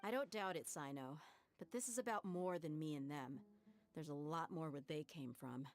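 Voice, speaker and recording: An actress voicing a bold young female adventurer in a video game, steady and wry. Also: deep voice, normal speed. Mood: resolute.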